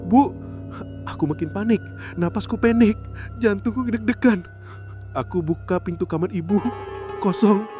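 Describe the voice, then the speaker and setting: A man narrating a horror story in the first person, suspenseful and theatrical, pausing between short phrases. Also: high voice, darkish tone. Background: background music.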